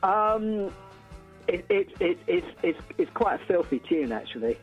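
A man with a fairly high voice gives a casual radio interview as a band's frontman. He starts phrases hesitantly, often repeating words, over a faint bed of music. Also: big pitch swings, measured pace, dull audio. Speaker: band frontman, interview guest.

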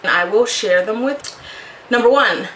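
Bright-voiced woman chatting in an echoey room. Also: fairly high pitch, measured pace. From Puerto Rico, moved to Connecticut as a child. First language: Spanish. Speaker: video blogger. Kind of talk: casual personal storytelling to camera. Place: small home room, indoors. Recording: echoey room, faint background noise.

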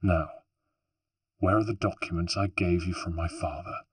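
Male reader plays a young assassin, cold and menacing, husky low hiss. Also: fairly even intonation, moderate pace, no echo.

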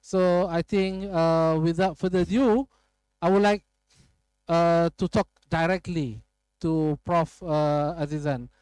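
A man's voice: a little high, fairly even intonation, slow, a little mellow, clean tone, no room sound, poor audio. A young man moderating a live online summit interview in a studio, speaking hesitantly with many filler sounds into a headset mic. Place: studio set with chairs.

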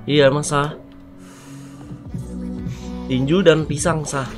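A man's voice: on the high side, steady tone, takes it slowly. A young man with an Indonesian accent chatting casually as a co-commentator on a live game stream. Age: young adult. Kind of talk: casual watch-along chat. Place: indoor streaming setup. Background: clear music in the background.

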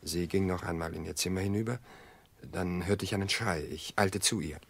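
An actor in a German radio drama playing a worried, protective man, husky-voiced, speaking in a calm, earnest and reassuring way. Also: sits low, moderate pace, no room sound, full character voice. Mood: sober, recounting. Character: worried, protective man soothing a bereaved woman.

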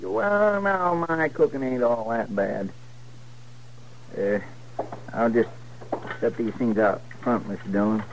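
Fussy deputy, rural Western American drawl, deferential, folksy, old radio drama, light hiss and clicks. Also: male voice, medium pitch, takes it slowly, gravelly, long pauses. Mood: huffy. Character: folksy, fussy frontier deputy, loyal and easily offended. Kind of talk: 1950s radio drama acting. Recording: noisy vintage radio recording with clicks.

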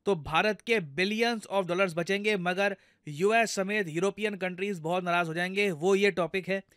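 A man's voice: sits high, unhurried, a bit bright, dry recording, studio quality. A man with an Indian accent giving explanatory news commentary in a conversational way, addressing viewers directly and going step by step. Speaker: news commentator, video host.

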